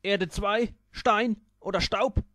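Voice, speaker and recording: A man voicing a rude, hot-tempered bronze alien who shouts insults in a storybook reading. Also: high voice, fairly even intonation, slow pace, no echo, voice pushed up. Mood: outraged.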